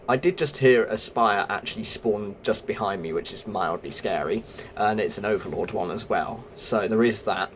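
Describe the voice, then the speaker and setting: A man's voice: medium pitch, slight background noise. A man hosting a video game walkthrough, giving casual running commentary on the play. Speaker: gaming video host.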